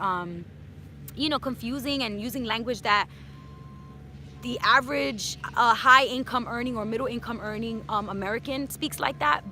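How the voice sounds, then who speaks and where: A woman's voice: mid-range voice, sharp tone. A woman of about thirty from New City, chatting casually in an outdoor Q&A vlog and dropping in Spanish words. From New York City. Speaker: personal finance YouTuber. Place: outdoors in hot sun.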